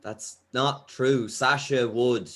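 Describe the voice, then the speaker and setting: A man plays a timid young musician in a drama table read. His voice is mid-pitched, with a hesitant, nervous delivery. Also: steady tone, takes it slowly, fairly bright tone. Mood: uneasy, defensive. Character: meek, nervous young male bass player.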